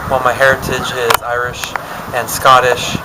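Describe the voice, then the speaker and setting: A man's voice: medium pitch, monotone, normal speed. A young American man answering interview questions hesitantly, with a lot of fillers. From United States. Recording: loud background noise.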